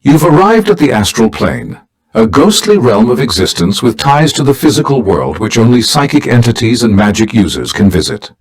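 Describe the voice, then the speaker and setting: A man's voice: mid-range voice, sing-song, breathy edge, low-quality recording. A man acting a dignified, formal older mentor who leads a team of heroes in a video game. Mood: grave briefing. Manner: formal, measured, grave.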